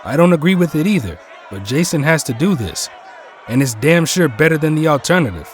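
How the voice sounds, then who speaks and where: A man's voice: fairly high pitch, sing-song, a little fast. A man playing an anxious, defensive young friend of a fighter in an audio drama. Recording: faint crowd and noise behind.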